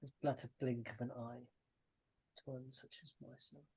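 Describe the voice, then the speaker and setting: A man voicing an ancient, fading dragon spirit in a tabletop game, speaking in slow, grave, breathy tones. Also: mid-range voice, little pitch movement, a little mellow, lightly acted. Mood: solemn. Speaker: game master.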